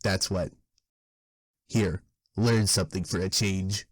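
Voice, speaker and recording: A low, husky cartoon author played by a man lectures with open contempt. He is wordy, weary and sneering, in a very dry recording. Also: steady tone, takes it slowly, no echo, exaggerated voice. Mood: contemptuous, weary. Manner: scornful, verbose. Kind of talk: fan dub character narration.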